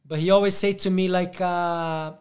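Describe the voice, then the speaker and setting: Brazilian man with a high voice. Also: fairly even intonation, darkish tone. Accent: Brazilian Portuguese. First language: Portuguese. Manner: halting, restarts often. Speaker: podcast host. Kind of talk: casual interview.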